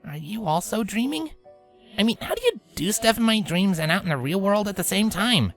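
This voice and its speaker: A woman's voice: mid-range voice, very animated, fairly quick, soft background music, close to an everyday voice. Woman voicing a brash, rattled young pegasus mare. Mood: confused, unsure. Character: young adult female pegasus pony, brash, now rattled. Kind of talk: audio fan fiction character acting.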